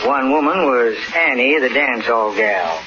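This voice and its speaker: A man's voice: mid-range voice, expressive, measured pace, lightly acted. A man playing a folksy, grave old Western storyteller, narrating an old time radio drama. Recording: muffled, noisy old radio recording.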